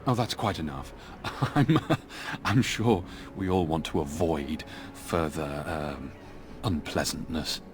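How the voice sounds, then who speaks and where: An actor playing an arrogant, lecherous villain lord with a smug, mocking, drawling delivery in a video game. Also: male voice, a bass voice, takes it slowly, noisy background.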